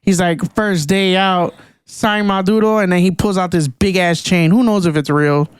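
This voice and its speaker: A young man talks in a casual podcast chat, excitable and full of slang. His voice sits high and comes through smooth and clear. The sound is dry and close, with no room around it. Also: normal speed, no room sound. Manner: excitable, slangy, says "bro" a lot. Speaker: podcast co-host.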